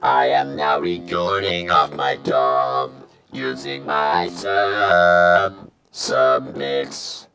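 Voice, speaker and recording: A man's voice: rumbling low, very slow, over music, pitched below the performer's own voice, heavily acted. A man voicing a robotic, crooning singer through a talk box synth effect in a sung demonstration.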